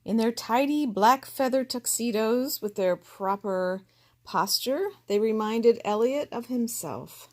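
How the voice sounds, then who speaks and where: Slow, gentle woman reading a picture book. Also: on the high side. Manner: gentle, expressive reading, voicing each character. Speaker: storybook reader. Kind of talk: picture book read-aloud.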